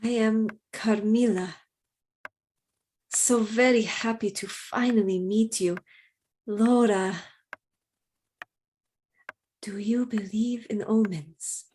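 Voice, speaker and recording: Mysterious young noblewoman, radio drama, languid, drawn-out phrasing, intense, formal, faint noise. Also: a little high, steady tone, darkish tone. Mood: fervent, mysterious. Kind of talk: dramatic radio play acting.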